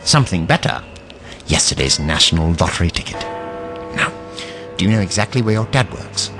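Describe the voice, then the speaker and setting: An actor playing an eccentric, kindly and curious investigator in an audio drama, pensive and courtly in manner. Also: male voice, sits low, animated, brisk, over music. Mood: brisk, kindly.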